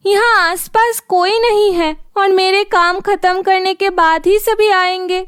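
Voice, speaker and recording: Earnest young dreamer, played by a woman, cartoon fairy tale, very high, slow, pleading. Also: fairly even intonation, a bit bright, no room sound, studio quality. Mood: wistful.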